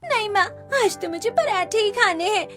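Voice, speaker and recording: Very high, whiny little girl voiced by a woman. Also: expressive, normal speed, fairly bright tone, soft background music. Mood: whiny, pleading. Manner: whiny, pleading. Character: hungry little village girl, whiny and insistent. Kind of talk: Hindi cartoon story dialogue.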